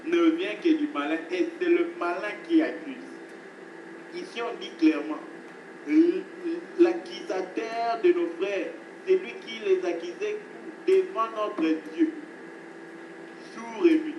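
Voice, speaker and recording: A man preaching with insistent repetition, his fairly high voice heard from across an echoing room. The recording is noisy and distant, with the space ringing around him. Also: slow, a little mellow. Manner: emphatic preaching, repeats phrases, addresses listeners as beloved. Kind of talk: sermon.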